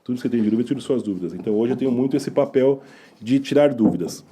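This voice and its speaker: A man's voice: mid-range voice, rapid-fire, mellow, slightly rough. A middle-aged Brazilian lawyer, a man, chatting as a guest, teasing his host and explaining his work at length. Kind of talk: casual interview chat.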